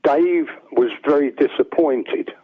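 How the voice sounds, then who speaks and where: An older man with a bass-baritone voice, telling rambling stories as a songwriter guest. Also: takes it slowly. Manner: rambling anecdotes, acts out quoted dialogue. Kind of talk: casual storytelling. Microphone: telephone. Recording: tinny phone line.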